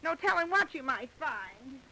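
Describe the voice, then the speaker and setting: Fearful, hesitant neighbor, American, very high and bright, 1930s radio drama sound. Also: female voice, very animated, measured pace, gravelly, exaggerated voice, rough recording. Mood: nervous. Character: nervous, gossipy female neighbor witness. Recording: vintage 1930s radio recording.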